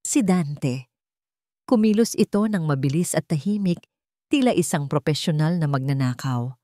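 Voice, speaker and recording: A woman's voice: low voice, lively intonation, moderate pace, warm tone, no echo, studio quality. A woman with a Filipino accent reading a dramatic story as a scripted narrator.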